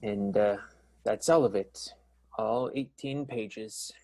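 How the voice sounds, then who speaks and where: Halting, earnest theater man, played by an actor. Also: on the low side, darkish tone, faint music behind. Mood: resigned, kindly. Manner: halting, hesitant, repeating himself. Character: heartbroken earnest theater manager turned werewolf, kindly. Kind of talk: improvised radio soap opera acting.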